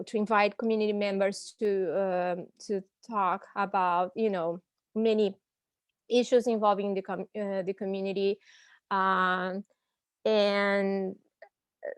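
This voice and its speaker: A woman with a Brazilian Portuguese accent speaks slowly and thoughtfully on a video call, pausing to search for her words. Her voice sits at a middle pitch and the sound is close and dry, with no room around it. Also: no room sound. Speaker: panelist and language teacher. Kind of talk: personal reflection on a panel.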